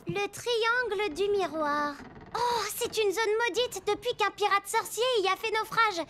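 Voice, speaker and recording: Plucky cartoon girl pirate captain, very high pitch, unhurried pace, exclamatory orders, music underneath. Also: bright, forceful tone, slight background noise, full character voice. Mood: explaining. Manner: exclamatory, commanding. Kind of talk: French animated series voice acting.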